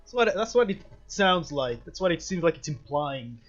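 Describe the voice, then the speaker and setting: Male gamer for a let's play, slightly high voice, casual and musing, on a somewhat muffled mic. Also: big pitch swings, normal speed, faint music behind. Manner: musing, hesitant thinking aloud. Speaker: gamer playing and reacting. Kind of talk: casual game commentary.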